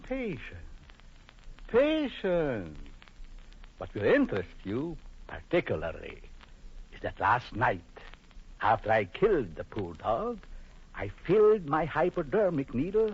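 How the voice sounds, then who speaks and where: Sinister, husky jealous doctor, old radio. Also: male voice, mid-range voice, very animated, a little slow, long pauses. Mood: gloating menace. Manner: menacing, mock-polite, theatrical. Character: vengeful jealous husband, coldly sinister doctor with mock courtesy. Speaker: actor. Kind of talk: vintage radio horror acting. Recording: muffled vintage radio broadcast.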